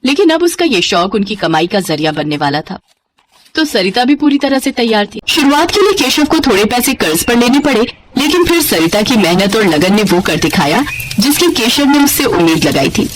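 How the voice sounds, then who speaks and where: A woman narrating a scripted children's story in an expressive storyteller's manner. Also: fairly high pitch, normal speed, background noise.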